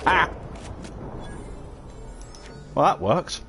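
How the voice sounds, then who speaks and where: A man's voice: on the high side, very animated, extremely slow, pushed and bright, soft background music. A man casually chatting while streaming a video game, rambling and often chuckling as he answers chat. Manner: reads and answers chat messages while playing.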